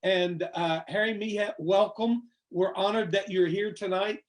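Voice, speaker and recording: Man, host, high voice, rambling casual talk full of fillers. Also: steady tone, measured pace. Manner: rambling, many fillers and restarts. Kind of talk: casual interview chat.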